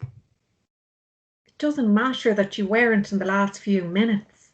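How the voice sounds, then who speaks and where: A woman acting a calm, consoling sister at a table read, gentle and reassuring. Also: mid-range voice, takes it slowly, lo-fi sound, lightly acted.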